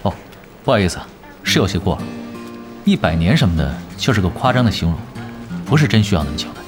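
A man's voice: low voice, big pitch swings, quick pace, over music. An actor playing a laid-back, cheeky young male gamer who is offhand and blunt, in an audio drama. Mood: sheepish, cheeky. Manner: offhand, hesitant mumbles turning blunt.

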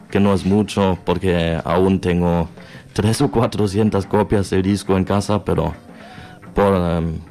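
A shy man from Germany, speaking Spanish that is not his tongue, answering questions in a halting way with many pauses on a radio show. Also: sits low, a little mellow. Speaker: guest, record label founder. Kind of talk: radio interview.

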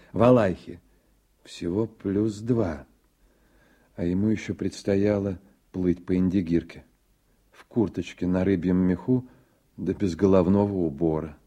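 An actor playing a reflective literary narrator in a radio play, with a husky voice. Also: male voice, low voice, unhurried, warm tone.

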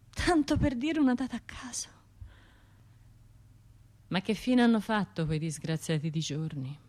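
A woman's voice: medium pitch, a little mellow. Radio drama monologue: sardonic, wounded Tuscan woman, middle-aged, colloquial delivery, mid-range voice. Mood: mournful, reflective. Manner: colloquial, sardonic, acting out other people's remarks. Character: bitter, sardonic Florentine woman, grieving survivor of a bombing. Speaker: stage and radio actor.

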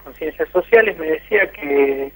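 A man's voice: on the high side, normal speed, fairly bright tone. A man with an Argentine accent reporting by phone for a radio interview, hesitant, with many fillers and restarts. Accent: Argentine Spanish. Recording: tinny phone line.

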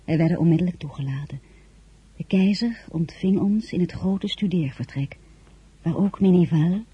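An actress playing an elegant, composed woman of high rank in a radio drama, narrating and speaking in a measured, intimate way. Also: slightly rough, lo-fi sound. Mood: reflective. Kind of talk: radio play acting with first-person narration.

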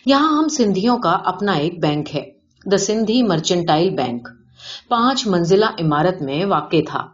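A woman's voice: lowish voice, very animated. Woman reading as a self-assured, boastful businessman, muffled. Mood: obliging. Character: boastful, generous, shady Sindhi businessman. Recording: dull, band-limited audio.

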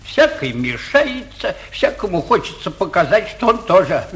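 An actor playing a timid, put-upon provincial school inspector, plaintive and fretful, in 1940s radio comedy style. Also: male voice, high voice, very animated, normal speed, vocal fry, full character voice.

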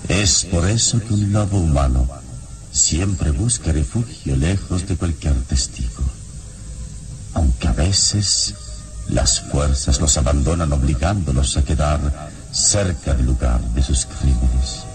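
An actor playing a calm, noble, wise adventurer hero in a radio serial, speaking solemnly with measured dramatic pauses. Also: male voice, extremely low pitch, full character voice. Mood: grave, pondering. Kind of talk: vintage radio serial acting. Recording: old radio serial with loud music bed.

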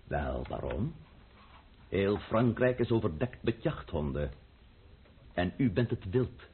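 An actor playing a cunning, menacing count in a Dutch radio play, silky and mockingly polite. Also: male voice, deep voice, big pitch swings, slow pace, slight background noise, heavily acted. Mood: menacing, commanding. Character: cunning, menacing aristocratic count, coolly sardonic schemer. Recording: thin, phone-like old radio play recording.

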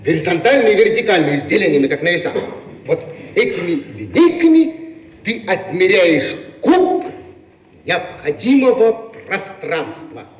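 An actor playing an excitable, idealistic inventor with impassioned, grandiloquent delivery in a radio play. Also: male voice, high voice, unhurried, distant mic. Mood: enthralled. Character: excitable idealistic male inventor of a time machine. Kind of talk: Soviet radio play acting. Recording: echoey archive radio recording, off-mic.

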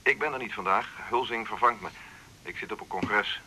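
An actor playing a polite, businesslike colleague on the phone in a radio drama, courteous and slightly halting, through a telephone filter. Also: male voice, on the low side, bright, forceful tone, breathy edge, heavily acted, poor audio. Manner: courteous, halting, matter-of-fact. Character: polite businesslike male colleague phoning early morning. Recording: thin telephone sound, faint noise.

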